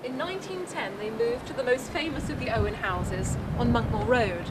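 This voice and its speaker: A woman's voice: fairly high pitch, moderate pace. A woman presenting a local history tour as scripted narration, recorded outdoors in town streets. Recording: outdoor location sound with clear traffic noise.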